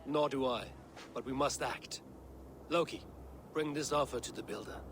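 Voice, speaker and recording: A man's voice: medium pitch, moderate pace, a little hiss or hum, close to an everyday voice. An actor playing a grave, authoritative Norse god-king, stern and wary, in a video game cinematic. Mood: grave, commanding.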